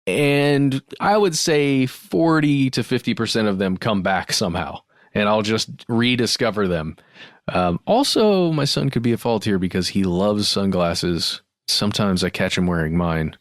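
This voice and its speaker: A man's voice: lowish voice, animated, unhurried, no room sound. Male co-host, playful casual storyteller with very varied intonation and a dry recording. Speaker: podcast host. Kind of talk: casual anecdotal chat.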